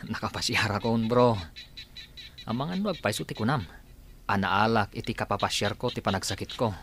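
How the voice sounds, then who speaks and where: Actor for an easygoing co-worker who jokes around; mid-pitched voice. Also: male voice, moderate pace, a bit bright. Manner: easygoing, joking. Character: friendly male co-worker abroad. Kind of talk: amateur radio drama acting.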